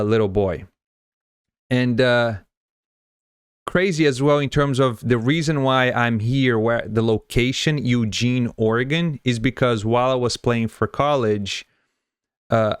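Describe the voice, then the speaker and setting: Brazilian-born host, smooth voice, dry sound. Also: male voice, medium pitch, dry recording. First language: Portuguese. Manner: enthusiastic, chatty, quick to agree. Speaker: podcast host. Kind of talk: casual conversational interview.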